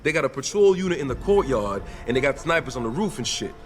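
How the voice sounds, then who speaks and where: A man's voice: medium pitch, big pitch swings, fast talker, natural voice. An actor playing a laid-back young male gang dealer in a game, talking in easygoing street slang. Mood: casual. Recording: game dialogue with faint music.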